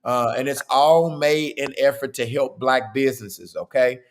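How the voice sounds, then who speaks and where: A man hosting a podcast in a casual, chatty way. His voice is hoarse and worn, and he keeps checking in with "okay?". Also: mid-range voice, unhurried. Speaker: podcast host.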